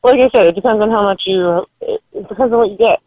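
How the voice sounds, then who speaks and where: A woman's voice: medium pitch, fast, no room sound. A woman talking unscripted on the telephone, blunt and no-nonsense, over a lo-fi line. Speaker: yard sale seller answering a prank call. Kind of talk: unscripted phone conversation.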